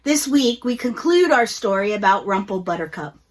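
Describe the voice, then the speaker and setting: A woman hosting a children's storytime, reading aloud in an expressive, deliberate storytelling way, with music behind her. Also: mid-range voice. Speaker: host and story reader. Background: background music.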